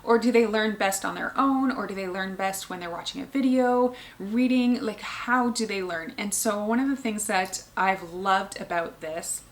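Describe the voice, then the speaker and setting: A woman, a homeschooling mother talking casually to camera in an earnest way, thinking aloud with frequent ums and pauses. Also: medium pitch.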